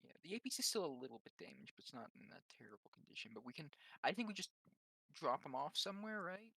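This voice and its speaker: Bright-voiced man chatting at a game. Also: on the high side, very animated, fast talker, creaky, slightly rough, dry recording. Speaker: player in a roleplaying game. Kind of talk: casual tabletop game chat.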